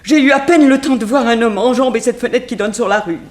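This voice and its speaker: High-voiced, shaken genteel wife played by a woman. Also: fast, natural voice. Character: shaken, genteel married woman giving testimony. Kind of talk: 1960s French radio detective serial acting.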